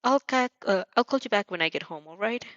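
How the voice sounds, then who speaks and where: A woman's voice: mid-range voice, expressive, brisk, a bit bright, no echo, muffled recording, lightly acted. A woman voicing an anxious twelve-year-old girl, hesitant and flustered as she hides her nerves in an amateur audio story. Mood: nervous, reassuring.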